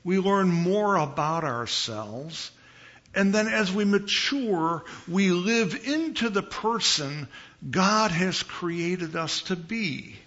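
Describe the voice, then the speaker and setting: A man from Chicago preaching as a visiting pastor in a church, with a big voice and an unhurried, conversational manner, pausing and repeating phrases for emphasis. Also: sits high, expressive, a bit bright, dull audio. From Chicago, United States. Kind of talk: conversational sermon. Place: church sanctuary.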